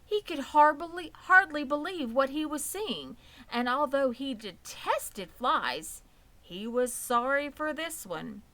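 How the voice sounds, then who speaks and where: A woman's voice: high voice, expressive, slow, fairly bright tone. A woman narrating a children's book as a scripted read-aloud. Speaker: storybook reader.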